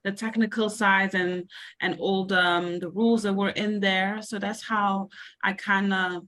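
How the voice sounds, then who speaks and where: A woman's voice: medium pitch, level tone, unhurried, a bit bright. A young woman from Belgium answering interview questions about her sports coaching, talking hesitantly with many fillers. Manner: hesitant, many ums and likes. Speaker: sports coach and mentor, guest.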